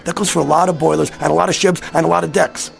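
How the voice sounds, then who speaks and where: A man's voice: a little high, flat delivery, very fast, vocal fry, faint music behind. An actor playing an agitated, desperate American man in a radio drama, pleading insistently in streetwise talk. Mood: urgent, insistent. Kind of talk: vintage radio drama acting.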